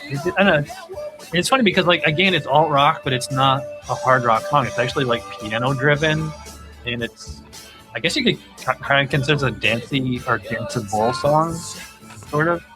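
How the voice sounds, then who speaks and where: A man chats casually as a podcast host, with music playing behind him. He talks haltingly, feeling his way through each thought with hedges and asides. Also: medium pitch. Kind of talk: casual chat about pop music.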